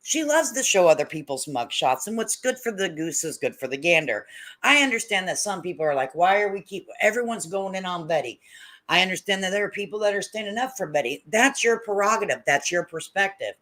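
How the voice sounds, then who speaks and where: A woman's voice: medium pitch, animated, brisk, a bit bright. A woman hosting a casual livestream commentary, talking to her chat in blunt, opinionated, folksy slang and repeating points for emphasis. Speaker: livestream host.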